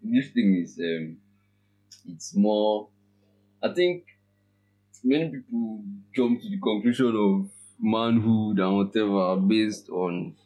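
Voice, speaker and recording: A young man in his twenties with a lilt and a very low voice. He talks casually as a podcast guest. He feels his way through ideas with halting restarts and easy laughter. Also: animated, mellow, lo-fi sound. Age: 20s. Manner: halting, laughs often, thinks aloud. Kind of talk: casual chat.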